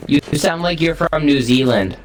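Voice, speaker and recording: A man's voice: fairly high pitch, lively intonation, moderate pace, poor audio. A man chatting casually in an online game, offering to guard his teammate. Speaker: gamer. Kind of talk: casual gaming chat.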